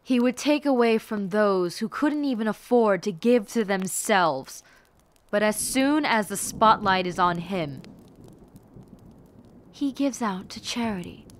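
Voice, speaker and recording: A woman playing a bitter, haughty, scheming rebel warlord princess in a scripted roleplay, speaking formally and deliberately with theatrical delivery. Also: medium pitch, lively intonation, a little hiss or hum, lightly acted. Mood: bitter, scornful. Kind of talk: scripted roleplay monologue to the listener.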